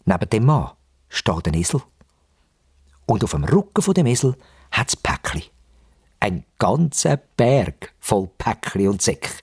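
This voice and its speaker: A man narrates for young children with a Swiss German accent. His voice is markedly husky and moves widely in pitch. He uses dramatic pauses and repetition, with music underneath. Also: very animated, darkish tone.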